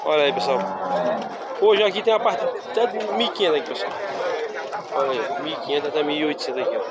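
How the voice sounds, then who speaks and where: A man's voice: on the high side, fast talker. Man vlogging a noisy cattle market. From Northeast Brazil. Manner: chatty, repeats prices to viewers. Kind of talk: casual walk-through commentary. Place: outdoor cattle pens. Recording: loud crowd and outdoor noise.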